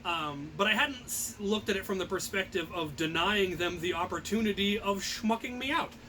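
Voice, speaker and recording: High, bright-voiced man telling stories theatrically. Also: normal speed, a little hiss or hum, poor audio. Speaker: storyteller and host. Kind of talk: animated personal anecdote.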